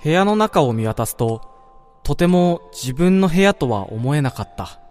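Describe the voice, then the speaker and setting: A male actor as a wistful dreamer narrates a radio drama over soft background music. His voice sits a little high for a man, and he takes his time, speaking in a measured, reflective first person as if recounting his own dream. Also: lively intonation. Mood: reflective, calm. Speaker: narrator-protagonist.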